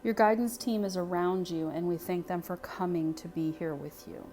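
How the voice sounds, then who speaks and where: A woman leading a guided meditation in a soothing, unhurried way, speaking phrase by phrase with pauses. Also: fairly low pitch, fairly even intonation, warm tone. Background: music.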